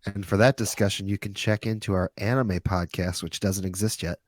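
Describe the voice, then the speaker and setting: A man with a fairly low voice co-hosts a casual pop culture podcast. He talks with wry, joking asides, and the recording is dry and close. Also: steady tone, brisk, dry recording. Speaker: podcast co-host. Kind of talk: casual group chat about pop culture.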